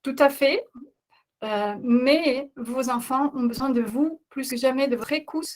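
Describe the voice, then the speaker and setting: A woman's voice: high-pitched, measured pace. A middle-aged woman with a non-native accent, hosting a webinar as a parenting coach, speaking earnestly with frequent hesitations. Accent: non-native speaker of French. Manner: hesitant, many filler sounds, earnest. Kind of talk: webinar presentation.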